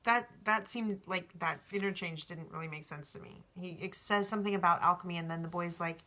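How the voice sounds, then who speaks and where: A woman's voice: on the low side, brisk, sharp tone, slight background noise. A woman reading a novel aloud as storybook narration, in an informal way. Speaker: audiobook reader.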